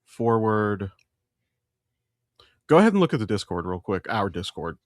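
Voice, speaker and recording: A man's voice: on the low side, sing-song, moderate pace, no echo. Blunt man chatting on a dry mic. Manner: blunt, profane. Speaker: podcast co-host. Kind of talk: casual, opinionated podcast chat.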